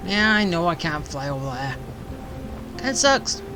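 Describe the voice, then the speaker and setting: A woman's voice: fairly low pitch, very animated, normal speed. A woman doing casual, playful gameplay commentary, thinking aloud and reacting to dialogue. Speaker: gameplay streamer. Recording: game music behind the voice.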